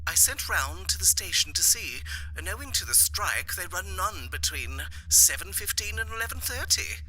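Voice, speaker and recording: A man voicing a gentleman's practical, attentive wife in a story reading, with a matter-of-fact manner. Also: high-pitched, very animated, moderate pace, voice pushed up, heavily acted, rough recording.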